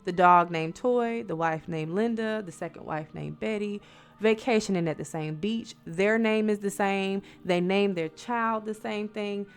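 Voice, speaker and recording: Gravelly-voiced American woman telling spooky bedtime stories. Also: medium pitch, a little slow. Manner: conversational, addresses listeners as friends, rhetorical questions, dramatic emphasis. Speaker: storyteller, host of a bedtime story show. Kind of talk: spooky bedtime storytelling with casual asides. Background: music.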